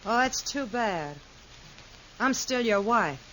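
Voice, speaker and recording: Coy scheming gal, highish voice, theatrical, noisy old radio sound. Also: female voice, expressive, slow, bright, forceful tone, full character voice. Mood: smug, defiant. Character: brazen, scheming young frontier gold-digger, falsely sweet. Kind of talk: 1950s radio western acting.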